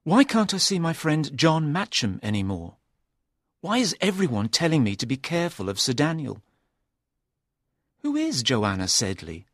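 A bold young hero's voice, pitched above the narrator's normal tone and swinging widely up and down as he questions and urges, as a male storyteller puts him on. It sounds dry and close. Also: wildly swooping pitch, normal speed, no echo. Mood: troubled.

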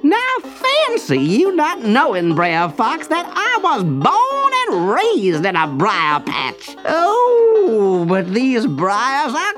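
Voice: mid-range voice, wildly swooping pitch, slow pace, few pauses, music in the background, voice pushed up. A storyteller voicing a cheeky trickster rabbit, male, in a theatrical, exclamatory way with stretched-out words, in a children's storybook tale. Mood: smug. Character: cheeky trickster male rabbit, indignant, mock-pleading, gleeful.

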